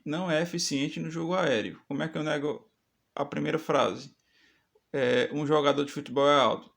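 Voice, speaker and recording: A man's voice: on the high side, gravelly, fairly bright tone. A man teaching a step-by-step math lesson for public exams, often checking in with "tá certo?". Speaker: math teacher for exam prep.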